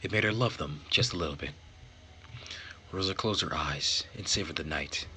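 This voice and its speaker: A man reading a visual novel aloud as host and narrator, with measured, theatrical phrasing. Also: low-pitched, bright, forceful tone, slight background noise. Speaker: let's play host and narrator.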